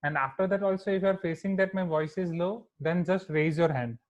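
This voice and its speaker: A man's voice: sits high, brisk. Man teaching maths online, echoey tinny audio. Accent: Indian. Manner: code-switching, checks in with students often.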